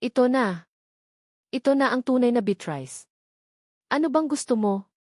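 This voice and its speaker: A woman playing a gentle young provincial wife in an audio drama, hurt and bewildered. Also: a little high, animated, a little slow, dry recording, clean studio sound, pitched above the performer's own voice. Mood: frightened, defensive.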